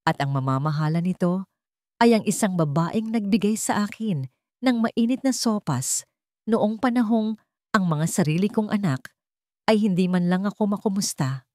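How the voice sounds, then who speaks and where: Woman voicing a wounded, dignified elderly father, widely swooping pitch. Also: animated, moderate pace, mellow, no echo, studio quality, close to an everyday voice. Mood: emotional, resolute. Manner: solemn, emotional speechmaking, emphatic. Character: betrayed elderly widowed father, dignified, wounded but resolute. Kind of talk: dramatic audio-story dialogue.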